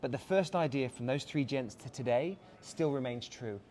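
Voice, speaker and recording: A man's voice: mid-range voice, normal speed, darkish tone, slight background noise. A man with an English accent, a brand director giving an enthusiastic, polished product walkthrough with filler words. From England. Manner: enthusiastic, polished salesman patter with frequent "uh" fillers. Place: busy watch showroom event.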